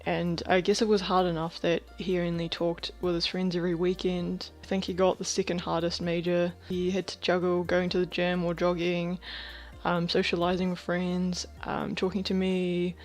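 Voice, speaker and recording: A woman in her twenties from Thailand, talking candidly in a personal video diary, rambling with lots of fillers and soft laughs. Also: lowish voice, monotone, moderate pace, soft background music. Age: 20s. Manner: drops to a whisper about housemates. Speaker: vlogger.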